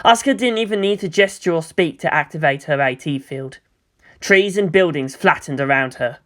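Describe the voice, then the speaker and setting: A story narrator reading dramatic action fiction in a measured, expressive way. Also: medium pitch.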